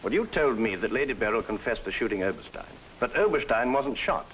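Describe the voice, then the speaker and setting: An actor playing a brilliant, haughty, sarcastic detective in old-time radio drama, lecturing with pompous precision. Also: male voice, mid-range voice, lively intonation, fairly quick, a little hiss or hum, close to an everyday voice. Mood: dry, lecturing. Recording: dull vintage radio recording, faint music.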